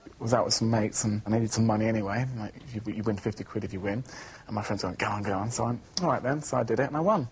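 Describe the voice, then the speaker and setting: A man's voice: fairly low pitch, quick pace, slight background noise. A young man in an interview, talking casually with giggles and hesitations. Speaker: amateur stripper interviewee.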